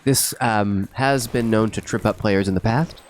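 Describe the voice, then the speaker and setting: A man giving mock-serious, measured golf-style commentary on a video game. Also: fairly low pitch. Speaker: streaming show co-host commentating.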